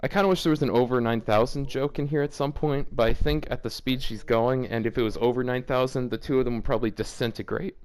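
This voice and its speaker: A man's voice: medium pitch, fairly even intonation, fast, few pauses, darkish tone, clean tone. A man hosting a casual read-aloud, often breaking off to comment in amused, exasperated disbelief. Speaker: host reading fan fiction aloud for a video.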